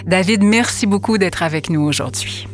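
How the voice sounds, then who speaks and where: A woman hosts a radio interview in a fairly low voice. She asks polite, brief questions. Faint music plays softly behind her. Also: normal speed. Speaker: radio host. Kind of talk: interview hosting.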